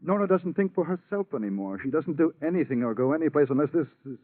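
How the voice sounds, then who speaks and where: An actor in a vintage radio drama playing a troubled, resentful husband, moody, hesitant and exasperated. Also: male voice, fairly high pitch, lively intonation, quick pace. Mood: bitter, weary. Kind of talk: 1940s-50s radio drama acting. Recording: muffled vintage radio broadcast recording.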